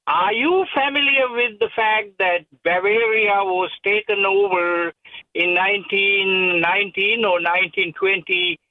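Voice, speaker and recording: A man's voice: sits high, no room sound. An older man from Sri Lanka, calling in to a radio show, eager and effusive, stumbling over repeated words. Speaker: caller. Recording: tinny phone line.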